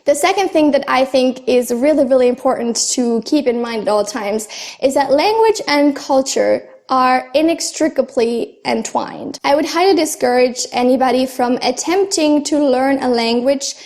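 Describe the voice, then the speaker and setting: High-voiced woman vlogging. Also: normal speed, darkish tone. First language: German. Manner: earnest, chatty, with light laughs and fillers. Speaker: language-learning vlogger. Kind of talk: casual talk to camera.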